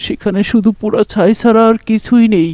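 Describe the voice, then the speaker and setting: A man voicing a kind, gentle doctor in a cartoon, speaking softly and reassuringly. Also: high voice, steady tone, a little fast, dry recording, close to an everyday voice. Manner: gentle, soothing. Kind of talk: cartoon voice acting.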